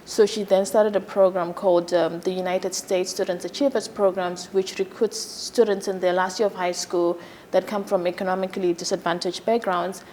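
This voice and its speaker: A woman surgeon from Zimbabwe in her thirties, giving a TV interview in a soft, hesitant way with many fillers. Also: mid-range voice, flat delivery, moderate pace, a little hiss or hum. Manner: soft-spoken, many fillers, laughs easily. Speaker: gynecologic surgeon, guest.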